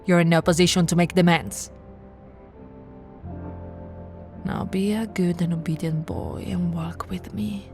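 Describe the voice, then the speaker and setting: A woman playing a haughty, possessive vampire aristocrat in a roleplay audio, imperious and deliberate, often dropping into a breathy whisper. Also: on the low side, fairly even intonation, a little mellow, soft background music, close to an everyday voice. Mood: possessive, commanding. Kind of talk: theatrical scripted roleplay with ASMR whispering.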